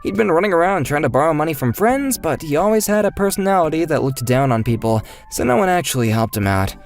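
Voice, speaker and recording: Animated, higher-pitched male story narrator. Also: fast. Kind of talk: scripted first-person story narration.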